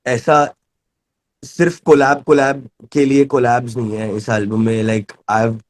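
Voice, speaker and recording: Very fast-talking Indian man, dry sound. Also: medium pitch, flat delivery, no room sound. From Delhi, India. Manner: switches often between Hindi and English. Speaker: rapper, interview guest. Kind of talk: casual interview talk.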